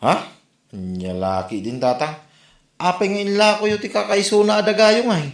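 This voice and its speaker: A man's voice: high voice, normal speed. A man playing an exasperated, reproachful grown son with an Ilocano accent in a comedy drama. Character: exasperated grown son scolding his drinking father. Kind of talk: Ilocano comedy radio-style drama acting. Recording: roomy echo.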